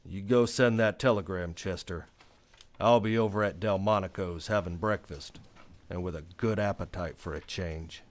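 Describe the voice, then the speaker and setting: An actor playing a weary, resolute Old West marshal in a radio western. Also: male voice, low-pitched, moderate pace, lightly acted. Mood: resolute, bitter. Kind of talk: radio western acting and first-person narration.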